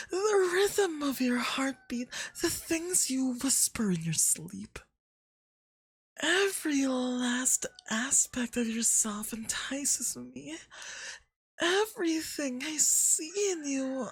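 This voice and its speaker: Someone doing a smug femboy god for asmr, high and swoopy, super full of himself, flirty and possessive, breathy. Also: no echo, exaggerated voice. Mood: adoring.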